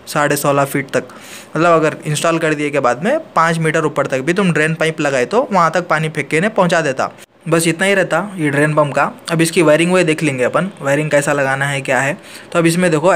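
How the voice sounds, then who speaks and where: A man's voice: fairly high pitch, a bit bright, a little hiss or hum. A man, an AC technician walking through a hands-on tutorial in a plain, practical way, filmed on a handheld phone indoors.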